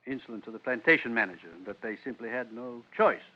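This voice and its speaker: On a dull old radio recording, a man plays an authoritarian British father. His voice is mid-pitched, formal and curt, heavy with disapproval of his son. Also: fast, natural voice. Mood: stern, measured. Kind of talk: vintage radio drama acting.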